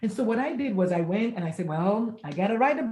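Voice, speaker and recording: A woman in her fifties, an author and pediatrician, telling stories in a casual interview and acting out the dialogue with lively swings. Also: mid-range voice, quick pace, reverberant. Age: 50s. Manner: animated storyteller, acts out quoted dialogue, laughs often.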